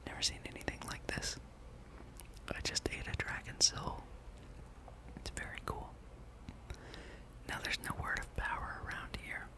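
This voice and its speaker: A person speaking in a breathy, unvoiced whisper, giving rambling, playful ASMR commentary while playing a video game. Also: measured pace, long pauses, poor audio. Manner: hushed, playful musing, reads game dialogue aloud. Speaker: gameplay streamer.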